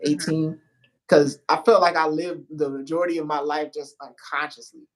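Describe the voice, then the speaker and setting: A host in a relaxed conversation who talks loosely, searching for words and acting out what they say to themselves. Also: medium pitch, normal speed, rough recording. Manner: loose, searching speech, acts out inner dialogue. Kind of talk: casual conversation.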